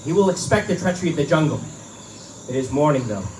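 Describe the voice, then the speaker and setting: A man's voice: on the high side, animated, quick pace, darkish tone, slight background noise, natural voice. Male actor as hunted adventurer, desperate, resourceful, clipped self-talk, live pulp drama, echoey room, distant mic. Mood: wary, calculating. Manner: tense muttered self-narration in short phrases. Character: desperate hunted big-game hunter, grimly resourceful man. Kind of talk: live pulp radio-play acting.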